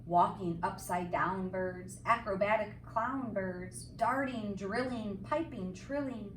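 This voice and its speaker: A woman reading a picture book aloud to young children like a teacher, with expressive, sing-song, emphatic phrasing and simple explanatory asides. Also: mid-range voice, slow pace. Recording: roomy, distant mic, faint background noise.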